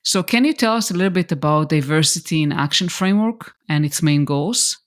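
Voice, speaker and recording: A woman's voice: deep voice, lively intonation, brisk. A woman podcast host, a native Hebrew speaker with an Israeli accent, chatting casually with hesitant, searching phrasing. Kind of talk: casual interview chat.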